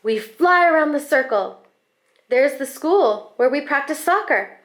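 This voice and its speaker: A woman with a fairly high voice reads a picture book aloud for children. Her phrasing is expressive and deliberate, and she stretches out words to bring the story to life. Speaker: school staff member reading a picture book aloud.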